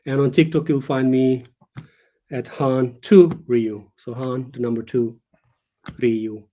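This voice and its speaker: Earnest man, mellow voice. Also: mid-range voice, fairly even intonation, slow pace. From Turkey. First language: Turkish. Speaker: guest author. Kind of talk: casual interview over a live stream.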